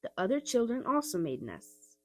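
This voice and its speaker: Woman reading a kids book out loud, expressive, some music behind her. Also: on the high side, normal speed. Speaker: storybook reader. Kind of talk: expressive children's audiobook narration.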